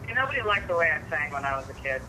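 A woman with a low voice, a musician guest, chatting casually in an interview. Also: very animated, rapid-fire, background noise.

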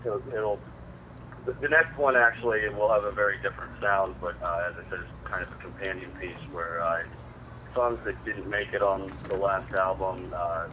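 Man, very deep voice, musician guest, hesitant, noisy broadcast. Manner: halting, many fillers. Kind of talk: casual interview chat.

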